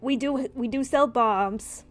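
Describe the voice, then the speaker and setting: A woman with a fairly high voice narrates a tabletop roleplaying game. She explains things in a conversational, improvised way, with faint music underneath. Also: slow pace. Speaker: game master voicing a shopkeeper in a tabletop RPG podcast.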